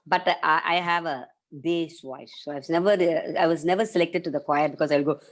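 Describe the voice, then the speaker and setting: A woman with an Indian English accent, a professional storyteller chatting as a guest on a video call, animated, slipping into voices for the people she quotes. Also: deep voice, brisk. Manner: animated, acts out quoted voices, laughs often. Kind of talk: casual interview chat.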